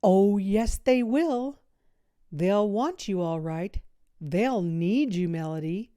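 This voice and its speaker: A woman reading a children's story, voicing an energetic, no-nonsense woman coach with an emphatic, driving delivery. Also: mid-range voice, slow pace, no room sound, studio quality. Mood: firm, rallying. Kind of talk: children's audiobook character voice.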